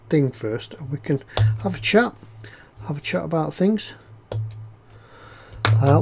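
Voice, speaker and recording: A fairly high-voiced man with a snuffly, stuffed-up sound from a cold. He chats in a relaxed, rambling way as he works on a model kit, filling pauses with "uh" and circling back over his own words. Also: husky. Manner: rambling and chatty, with frequent "uh"s and self-repeats. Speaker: scale-model builder hosting a live stream. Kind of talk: casual livestream chat, reading out viewer comments.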